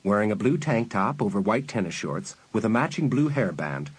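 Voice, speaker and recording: A man's voice: lowish voice, lively intonation, normal speed, breathy edge. A man narrating a dramatised audiobook as a scripted storyteller.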